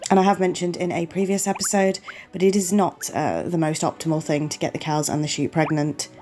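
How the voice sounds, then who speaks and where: A woman's voice: fairly low pitch, steady tone, fairly quick, dark tone, soft background music. Sniffly woman commentating a game. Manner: apologetic, chatty, talks the viewer through each step. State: sniffly and losing her voice. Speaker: gaming channel host. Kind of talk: relaxed let's-play commentary.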